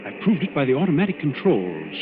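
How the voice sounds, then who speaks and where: A man's voice: mid-range voice, wildly swooping pitch, fast. A man playing a resolute, level-headed naval commander in danger, in 1940s radio drama style. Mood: hushed, urgent. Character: resolute, calm male naval commander in peril. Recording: muffled, noisy old radio recording.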